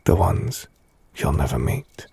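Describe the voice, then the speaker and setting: A man playing a brooding, gentle, melancholy vampire-blooded castle lord in an intimate ASMR audio roleplay, hushed and husky, speaking slowly in measured, formal phrases. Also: low voice, big pitch swings, exaggerated voice. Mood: reflective, gentle. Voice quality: gravelly, husky, breathy.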